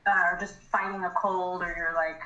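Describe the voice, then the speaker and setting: A woman from Greece, a herbalist guest, explains things in an interview, pausing often on um. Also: lowish voice, little pitch movement, lo-fi sound, a crowd in the background. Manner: explains with many ums. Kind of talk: casual interview chat. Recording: roomy echo.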